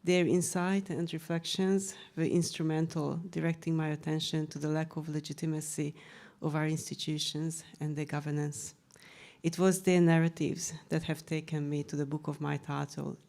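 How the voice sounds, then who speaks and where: Middle-aged woman, Hungarian accent, low mellow voice, measured and earnest, presenting research at a panel. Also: vocal fry. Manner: measured, earnest delivery with occasional fillers. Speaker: author and researcher presenting her book. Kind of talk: prepared panel talk.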